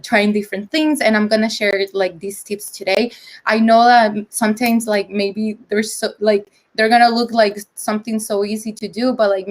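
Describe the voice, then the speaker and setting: Young woman, English, casual presenter with a chatty, filler-heavy delivery, video-call audio. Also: mid-range voice. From El Salvador. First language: Spanish. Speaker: conference presenter.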